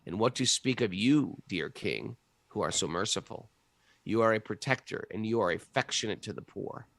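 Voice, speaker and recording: A man reading aloud the lines of a frightened earth goddess in cow form, in a plain, earnest voice with a gravelly edge. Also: fairly low pitch, lively intonation, moderate pace, fairly bright tone, no room sound. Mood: pleading.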